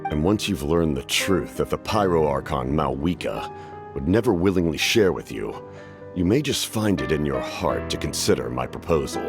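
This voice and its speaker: An actor playing a grave, calculating military captain in a video game, speaking in a formal, measured and courteous way to persuade. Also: male voice, very deep voice, lively intonation, music in the background. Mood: composed, persuasive. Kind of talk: English game voice acting.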